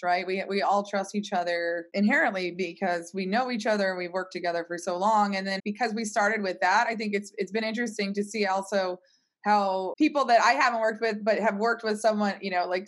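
A woman talks in a relaxed conversational interview style. Her voice sits at a middle pitch for a woman, and she explains things informally, letting her sentences ramble along. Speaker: tech company team leader, interview guest.

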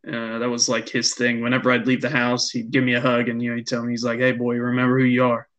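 A young man co-hosting a casual podcast chat, talking haltingly with many fillers and restarts. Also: mid-range voice, completely flat delivery, a bit bright. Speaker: podcast co-host.

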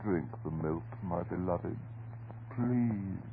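Frantic, devoted husband coming unhinged, slow and halting, melodramatic horror radio style, tinny old noisy recording. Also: male voice, low voice, gravelly, exaggerated voice. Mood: coaxing. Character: frantic, devoted, unhinged husband guarding his transformed beloved. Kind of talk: vintage horror radio drama acting.

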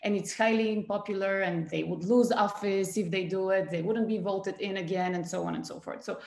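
A woman from Bulgaria answers interview questions in a thoughtful, searching way, pausing on fillers and restarting her sentences. Her voice has a gravelly fry to it. The recording sounds like an echoey room. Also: mid-range voice, fairly even intonation, a little mellow. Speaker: guest. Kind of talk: conversational interview.

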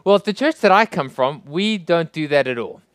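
A man's voice: fairly high pitch, expressive, normal speed, a bit bright, no room sound, studio quality. A man with a South African accent, preaching a sermon as a guest pastor, praying and then teaching with friendly joking asides. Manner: friendly, joking asides, rising emphasis on rhetorical questions. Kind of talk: sermon with opening prayer.

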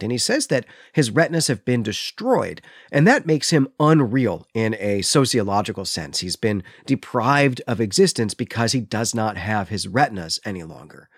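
A man's voice: mid-range voice, dry recording, studio quality. A man co-hosting a literary podcast, recapping a story in an orderly, explanatory way. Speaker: podcast co-host.

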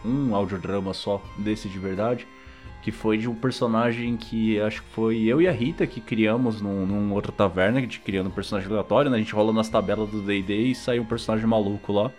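Low-pitched Brazilian man hosting over music. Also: steady tone, a little fast, darkish tone. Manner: informal, slangy. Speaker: podcast host. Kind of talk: casual interview chat.

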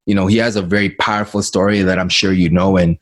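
A man's voice: low-pitched, flat delivery, fast. A man hosting a casual interview, asking questions hesitantly and often repeating his words. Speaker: interview host.

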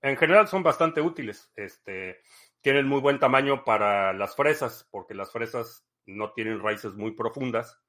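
A man, hosting a podcast, answering listener questions in a relaxed, rambling chat full of fillers. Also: mid-range voice, a little slow. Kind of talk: casual live-stream chat.

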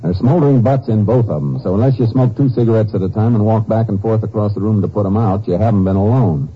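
A man's voice: on the low side, fast, husky. A man playing a terse, authoritative Texas Ranger in 1950s radio drama style. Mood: probing, firm. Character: steady, tough Texas Ranger on a case. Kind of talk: 1950s radio drama acting with first-person narration. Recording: muffled, hissy old radio recording.

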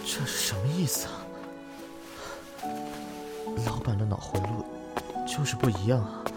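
A man plays a young male entertainer in an audio drama. His voice sits a little low, and he is playful but easily flustered, stumbling and hesitating over his words. Music plays clearly behind him. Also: fairly quick, lightly acted. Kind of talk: Chinese audio drama acting.

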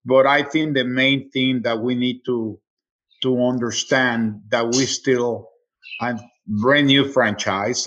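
A middle-aged man from Mexico City with a Mexican accent, a native Spanish speaker, talking casually as an interview guest in a halting way with repeated words. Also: medium pitch, fairly bright tone, rough recording. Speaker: sports radio play-by-play announcer, interview guest.

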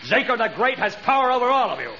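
An actor playing a boastful, scheming villain who poses as an all-powerful magician in a pompous 1930s radio acting style. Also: male voice, extremely high pitch, sing-song, quick pace, bright, forceful tone, heavily acted. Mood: commanding, menacing. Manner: pompous, theatrical, menacing. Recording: muffled, noisy 1930s radio recording.

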